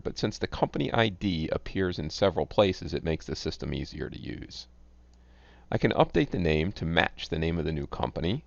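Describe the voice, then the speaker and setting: A man giving scripted, instructional narration as a software tutorial presenter, reading in a measured, enunciated way. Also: sits low, gravelly, no echo. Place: close, dry voice-over recording. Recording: dull, lo-fi close voice-over.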